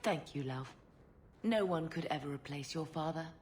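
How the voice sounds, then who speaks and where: A woman's voice: deep voice, moderate pace, darkish tone, a little hiss or hum, natural voice. An actress playing an affectionate, genteel older mother in a video game, speaking wistfully.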